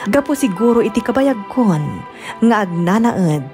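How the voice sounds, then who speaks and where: A woman's voice: mid-range voice, expressive, moderate pace, lightly acted. Female actor, weary wife as first-person drama narrator, subdued, faint music bed. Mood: subdued, wistful. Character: weary wife narrating her letter of hardship.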